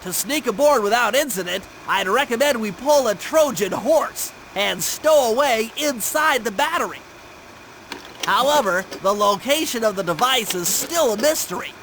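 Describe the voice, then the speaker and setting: A man's voice: very high voice, animated, normal speed, fairly bright tone, slight background noise, exaggerated voice, rough recording. A man voicing a brainy, fussy young turtle gadget expert with precise, bookish speech in a cartoon game. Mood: earnest, commanding. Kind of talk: cartoon video game briefing. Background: music.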